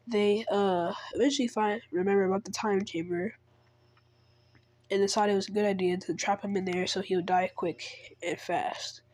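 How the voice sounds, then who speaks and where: A woman's voice: mid-range voice, steady tone, normal speed, darkish tone, dry recording. A woman who is sick, casually retelling an invented story in a rambling way, with many fillers and restarts. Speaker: fan storyteller.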